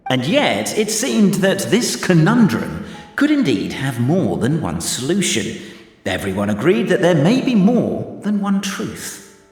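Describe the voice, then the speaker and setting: A man's voice: fairly high pitch, wildly swooping pitch, measured pace, a little mellow, faint music behind, exaggerated voice. A man playing a theatrical storyteller who narrates a video game adventure in a formal, dramatic way, with long reverb. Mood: dramatic, knowing.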